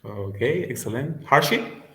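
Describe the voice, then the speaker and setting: A man's voice: mid-range voice, expressive, measured pace, a bit bright. A man hosting an online forum over a video call, introducing speakers in a hesitant way with many fillers. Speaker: host. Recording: echoey room audio.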